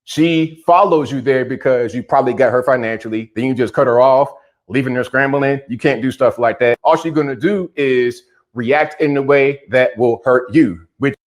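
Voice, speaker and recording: A man's voice: medium pitch, moderate pace. A man giving opinionated commentary on a news story, talking bluntly and directly. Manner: direct, addresses subjects bluntly.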